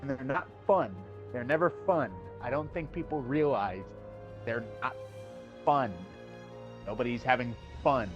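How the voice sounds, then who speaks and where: A man's voice: mid-range voice, measured pace, muffled recording, faint music behind. Profane ranting man on a stream. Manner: ranting, profane, many fillers and restarts. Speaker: co-host. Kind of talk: casual gaming stream chat.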